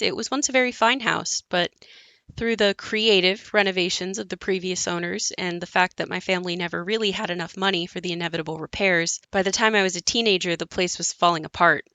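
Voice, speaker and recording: A woman's voice: medium pitch, fairly bright tone, no room sound, close to an everyday voice. A woman playing a wry grown daughter narrating memories of her crumbling childhood home in an audio play, with a reflective, understated storytelling delivery. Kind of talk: scripted monologue in an audio play.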